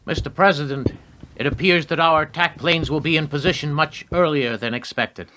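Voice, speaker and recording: A man's voice: fairly high pitch, moderate pace, background noise, close to an everyday voice. A male actor playing a cold, calculating presidential adviser in a radio play, speaking formally and insistently. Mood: urgent, formal.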